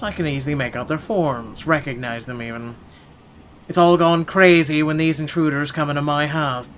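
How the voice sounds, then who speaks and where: A man reading a visual novel aloud as a wealthy, irritable gentleman, expressive and indignant in his narration. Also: medium pitch, a little hiss or hum, rough recording. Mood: wary, brooding. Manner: indignant, expressive, stumbles over the script. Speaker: gaming channel host.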